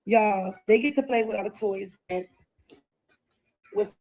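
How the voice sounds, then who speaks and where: A woman's voice: medium pitch, measured pace, a little mellow. A woman with a stuffy nose talking casually in a home vlog clip, partly addressing a small child.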